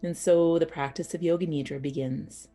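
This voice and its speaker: A woman teaching yoga nidra as a guided meditation, speaking soothingly and unhurriedly in short phrases with pauses. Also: deep voice, fairly even intonation, dark tone, soft background music.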